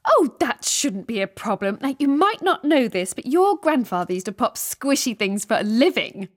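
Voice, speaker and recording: A woman voicing a gentle, doting monster grandmother with a coaxing, sing-song way of talking. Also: a little high, big pitch swings, moderate pace, no room sound, clean studio sound, pitched above the performer's own voice.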